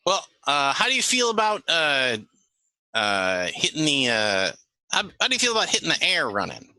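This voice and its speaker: A man's voice: a little high, wildly swooping pitch, moderate pace, sharp tone, smooth, dry recording, voice pushed up, full character voice. A man playing an army major who briefs agents in a tabletop game, courteous and hesitant.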